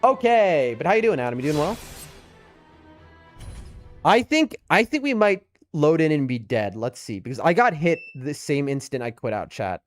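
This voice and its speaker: Higher-pitched New York man chatting on stream. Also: big pitch swings, normal speed. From US East Coast. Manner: rambling, chatty, addresses viewers. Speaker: game streamer. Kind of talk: casual live game commentary and chat. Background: music.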